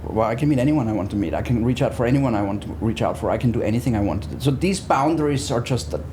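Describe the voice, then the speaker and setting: A man's voice: mid-range voice, lively intonation, rapid-fire, background noise. A man, talking conversationally as a guest in an interview. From Austria. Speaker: interview guest, business therapist.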